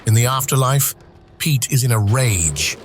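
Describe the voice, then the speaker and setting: A man's voice: medium pitch, expressive, a little slow, slight background noise. A man reading scripted screenplay narration for an audio drama.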